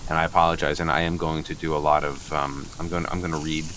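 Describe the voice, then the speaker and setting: Man playing flustered boyfriend, low voice, stammering, apologetic, agreeable, repetitive, improv radio comedy, noisy background. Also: little pitch movement, fast, fairly bright tone. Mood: flustered apology. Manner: stammering, agreeable, repeats himself. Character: flustered, over-apologetic boyfriend asking for a blessing.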